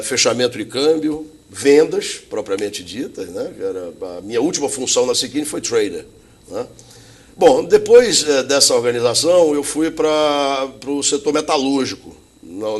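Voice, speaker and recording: A middle-aged man from Bahia, telling his career story as an interview guest in a chatty, anecdotal way with frequent tag questions. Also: medium pitch. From Bahia, Brazil. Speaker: interview guest, logistics executive.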